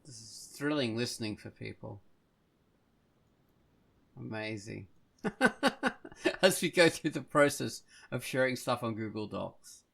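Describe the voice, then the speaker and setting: A man with a gravelly, creaky edge to his mid-range voice hosts a casual podcast. He speaks haltingly, working out his thoughts as he goes. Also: mid-range voice, animated, a bit bright. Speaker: podcast host.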